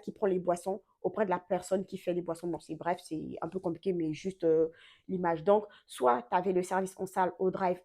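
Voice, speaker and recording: A young woman vlogging casually, telling a story with many fillers and quick self-corrections; French is not her first language. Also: fairly low pitch, mellow.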